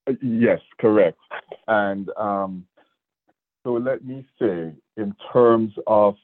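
A man in his sixties with a Jamaican accent, a state division director speaking earnestly and deliberately in a radio interview. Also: fairly low pitch, dry recording. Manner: earnest, measured, with restarts. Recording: dry, phone-like radio line.

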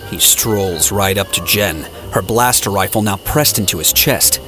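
A man's voice: deep voice, unhurried, music in the background. A man narrating an audio drama in a scripted, dramatic storytelling style.

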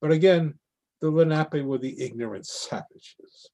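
A man giving a measured, solemn dramatic reading of a monologue over a video call. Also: on the high side, warm tone. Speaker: reader in a readers theatre.

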